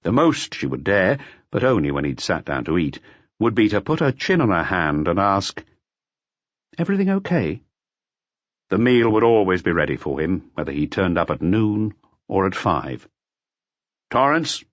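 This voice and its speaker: A man's voice: low-pitched, animated, normal speed, dry recording, highs cut off. A man reading scripted narration from a detective novel as an audiobook narrator.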